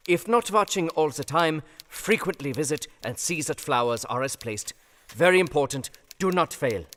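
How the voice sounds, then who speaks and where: A man's voice: on the high side, expressive, normal speed, a bit bright, big-room sound. A man reading a telegram aloud in a clipped, formal way, in a radio play.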